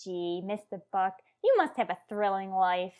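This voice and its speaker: A woman's voice: medium pitch, moderate pace, darkish tone, clear, resonant tone, close to an everyday voice. A woman playing a naive, chatty young woman with slangy speech in an old-time radio comedy. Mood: gushing. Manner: chatty, wide-eyed, slangy. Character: naive gossipy adult woman, tavern owner's daughter.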